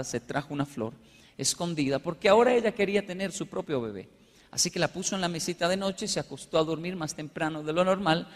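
A Colombian man with a notably high-pitched voice gives a humorous motivational talk. He tells stories in an animated way, acting out short exchanges as he goes. His voice rings with the long reverb of a big hall. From Colombia, with Japanese background. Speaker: motivational speaker. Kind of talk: humorous storytelling talk to a live audience. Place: large hall with live audience.

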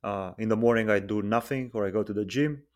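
A man in his twenties, a podcast guest chatting casually and relaxed, with many fillers and pauses. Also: on the low side. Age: 20s. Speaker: guest, freelance software engineer.

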